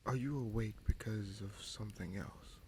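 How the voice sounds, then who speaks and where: A man playing a scheming sorcerer prince in a fantasy audio roleplay, smug and coolly menacing, with a gravelly voice and slow, deliberate, taunting delivery. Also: lowish voice, a little mellow, slight background noise, close to an everyday voice. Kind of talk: fantasy audio roleplay, dramatic monologue addressed to listener.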